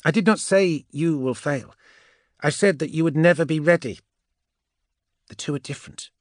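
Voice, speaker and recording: A man's voice: on the high side, normal speed, no echo, clean studio sound, pitched above the performer's own voice, lightly acted. A man voicing a cool, mocking gene witch woman in a science fiction audiobook, speaking in a wry, portentous and self-assured manner. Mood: firm, reassuring. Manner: wry, portentous, sure of herself.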